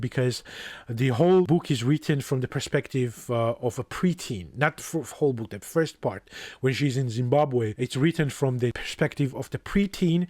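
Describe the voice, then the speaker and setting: A man's voice: medium pitch, no echo, studio quality. A young man from Georgia, a native Georgian speaker, talking casually about a book he loves, thoughtful and hesitant with many restarts. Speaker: book reviewer.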